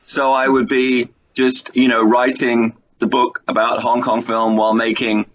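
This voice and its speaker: A man's voice: medium pitch, level tone, measured pace, a bit bright. A middle-aged man, chatting and telling anecdotes as the guest in a radio interview. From England. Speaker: interview guest, film writer and producer. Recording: dull lo-fi sound with clear background noise.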